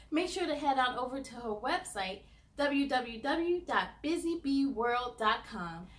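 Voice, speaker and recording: Female children's read-aloud host, very high voice, animated sing-song delivery, speaks directly to kids. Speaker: host of a children's story time show.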